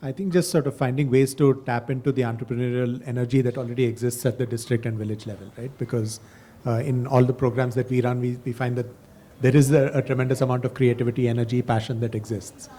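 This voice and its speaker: Quick, flat-voiced male panelist. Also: mid-range voice, slight background noise. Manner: reflective, loosely phrased. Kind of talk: panel closing remarks.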